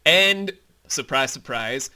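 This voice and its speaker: A man's voice: medium pitch, expressive, takes it slowly, sharp tone, clear, resonant tone. A man giving casual behind-the-scenes commentary as creator and host, informal and emphatic, with some swearing.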